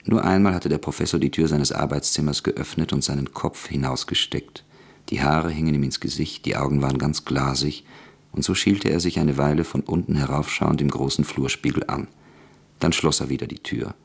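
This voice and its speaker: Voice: a bass voice, steady tone, moderate pace, vocal fry, slightly rough. A narrator reading a literary radio drama.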